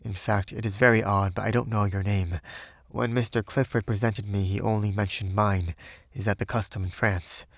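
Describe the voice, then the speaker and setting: A man's voice: lowish voice, steady tone, moderate pace, dry recording, clean studio sound. A man voicing a polite, naive young American abroad in an audiobook, courteous and earnest. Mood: cheerful, polite. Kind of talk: audiobook character voice.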